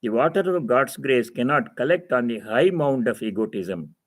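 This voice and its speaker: Man giving a measured spiritual discourse. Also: mid-range voice, lively intonation. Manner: didactic, repeats phrases for emphasis. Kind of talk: spiritual discourse, reading and explaining scripture.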